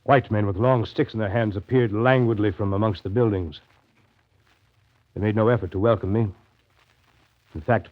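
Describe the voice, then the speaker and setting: Male actor, riverboat captain narrator, lowish voice, deliberate and literary, brooding, dull lo-fi 1930s radio drama. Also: natural voice.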